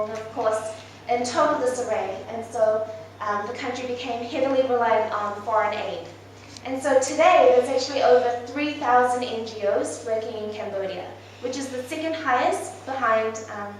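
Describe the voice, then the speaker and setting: A young woman gives a presentation to her church, her voice sitting a little high. She talks informally with plenty of ums. The recording is heard from a distance across a very echoey hall. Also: moderate pace, few pauses, slight background noise. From Malaysia, raised in New Zealand. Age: 20s. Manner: informal, with frequent ums and soft laughs. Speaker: church speaker presenting her mission work. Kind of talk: presentation talk to a church congregation.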